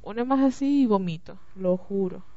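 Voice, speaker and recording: Radio play role: easily annoyed female prefect, woman performer, mellow tone, muffled lo-fi sound. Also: mid-range voice, moderate pace, natural voice. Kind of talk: amateur Spanish-language radio play acting. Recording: muffled lo-fi home recording.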